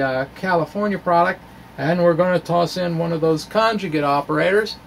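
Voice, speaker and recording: A middle-aged American man with a gravelly, creaky edge to his voice talks through physics informally. He is casual and halting, pausing to think as he goes. Some room noise sits behind him as he speaks into a microphone. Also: high voice. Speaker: physics video blogger. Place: small cluttered indoor room with bookshelves. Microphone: microphone on a stand in front of him.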